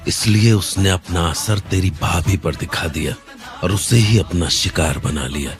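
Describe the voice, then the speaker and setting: A steady old uncle in a cartoon story, calm and wise, patiently explaining what happened, voiced by a man. His voice sits very low and deep, with faint music behind him. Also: unhurried. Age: older. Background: faint background music.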